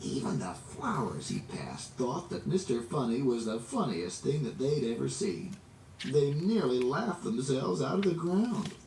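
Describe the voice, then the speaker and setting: A man reading a children's story aloud in an expressive, dramatic way, drawing out words for emphasis. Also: mid-range voice. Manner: animated, dramatic, drawn-out emphasis. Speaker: storybook reader. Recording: roomy, distant, noisy amateur recording.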